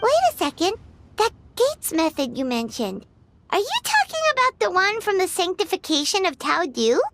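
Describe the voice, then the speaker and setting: A woman voicing a small, cheerful, chatty fairy companion in a video game, with a squeaky childlike voice and exclamatory delivery. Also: sing-song, normal speed, background noise, heavily acted. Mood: puzzled. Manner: bubbly, exclamatory, third-person self-reference. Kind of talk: video game cutscene acting.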